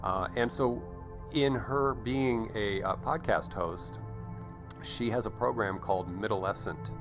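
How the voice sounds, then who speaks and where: A man hosting an interview show in a conversational style, with halting, rambling delivery and chatty asides. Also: fairly low pitch, over music.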